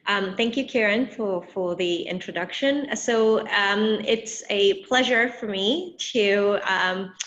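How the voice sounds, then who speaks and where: A woman moderating an online interview, talking hesitantly with many pauses and restarts. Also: medium pitch, a bit bright. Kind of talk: interview moderation on a video call. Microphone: video call. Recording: dull lo-fi video call audio.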